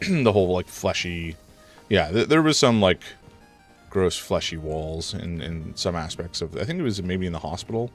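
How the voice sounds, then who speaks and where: A man live-streaming a horror game, rambling through his commentary, chatting with viewers, and reacting loudly to scares, a little congested. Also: low voice, lively intonation, normal speed, soft background music. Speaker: gaming streamer.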